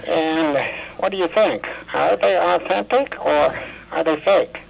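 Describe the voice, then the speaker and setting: Man acting very creaky, genial male academic. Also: a little high, measured pace, highs cut off, slight background noise. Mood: genial, puzzled. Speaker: actor in a radio play. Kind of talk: 1980s radio drama acting. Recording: old radio drama recording.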